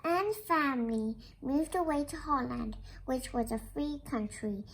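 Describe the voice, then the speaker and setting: Young girl, very high voice, storybook read-aloud, noisy home-style recording. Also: measured pace. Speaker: reader of a children's book. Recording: clear background noise.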